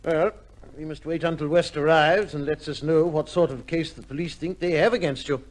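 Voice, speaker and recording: An actor playing a shrewd, measured gentleman adviser in an old radio drama, speaking formally and firmly. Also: a little high, fairly even intonation, background noise, natural voice. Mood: firm, probing. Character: cool, shrewd gentleman adviser to an accused judge.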